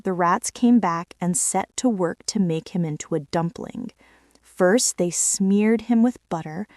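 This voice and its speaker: A woman narrating a children's bedtime story with measured, expressive, deliberate phrasing. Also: mid-range voice, no room sound, studio quality. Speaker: storyteller reading a children's book aloud. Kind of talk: scripted storybook narration, bedtime read-aloud.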